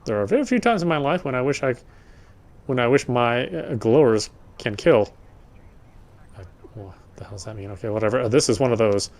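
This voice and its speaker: A man's voice: mid-range voice, lively intonation, brisk, darkish tone. A man doing a let's play, reading game text aloud and adding casual, sardonic commentary. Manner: sardonic, informal. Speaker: let's player.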